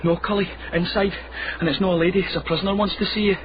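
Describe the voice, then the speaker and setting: A performer playing an excitable, urgent town fellow, a man, in a radio drama. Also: high voice, quick pace, lightly acted. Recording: muffled radio recording, noisy crowd.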